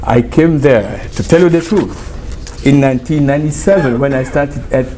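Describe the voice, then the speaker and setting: A man's voice: medium pitch, normal speed, a little hiss or hum. Congolese man telling stories to a group. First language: Chiluba and Lingala. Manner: animated storytelling, switches languages, laughs often. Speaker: university professor. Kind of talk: informal talk to a small group.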